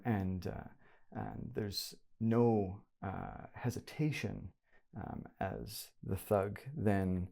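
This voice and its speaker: A man narrating a tabletop game as game master, haltingly, searching for his words as he improvises. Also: lowish voice, gravelly, darkish tone.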